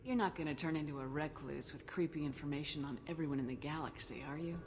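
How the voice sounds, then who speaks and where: Low-voiced, steady military commander. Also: female voice, quick pace, noisy background. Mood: wry, concerned. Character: steady, caring female military commander, direct. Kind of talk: video game voice acting. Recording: loud music behind the voice.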